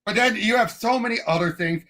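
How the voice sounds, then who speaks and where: A man's voice: high-pitched, fairly quick, sharp tone. A man co-hosting a podcast, chatting casually and emphatically about films and games, with firm opinions. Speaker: podcast co-host. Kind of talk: casual film and game discussion.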